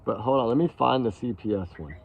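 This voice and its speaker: A man's voice: mid-range voice, fast, vocal fry. A man chatting casually to his viewers as a host of live commentary, calling them y'all. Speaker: online true-crime commentator and host. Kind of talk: casual live commentary, reacting to played clips. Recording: dull lo-fi livestream audio.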